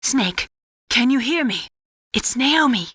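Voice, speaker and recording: An actress playing a lonely, sorrowful woman scientist in a video game, confessing bitter secrets in a measured, halting way. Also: a little high, expressive, a bit bright, slightly rough, no echo, studio quality, full character voice. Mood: urgent. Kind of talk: dramatic video game voice acting. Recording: prominent music under the voice. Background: music.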